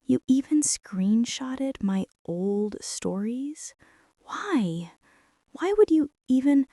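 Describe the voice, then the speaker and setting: A woman playing a young former bully in an intimate ASMR roleplay, speaking in a hushed, hesitant way that often slips toward a whisper. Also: high-pitched, animated, slow, no room sound, studio quality, full character voice. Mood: stunned, flustered. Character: young female former bully, stunned, teasing, softening into tenderness.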